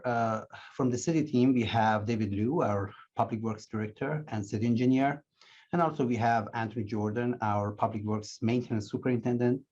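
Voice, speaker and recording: City works guy welcoming folks at a meeting, kinda low voice, lots of uhs, muffled call sound. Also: a little mellow. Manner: halting, many uh fillers. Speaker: city public works manager. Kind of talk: formal welcome at public meeting. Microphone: video call or phone.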